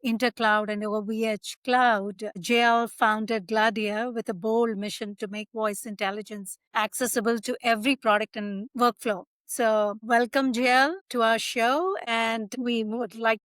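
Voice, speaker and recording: A woman's voice: on the high side, steady tone, a little slow, few pauses, a bit bright, no echo. A woman hosting a podcast, asking questions in a friendly, conversational way. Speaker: podcast host. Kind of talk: interview hosting.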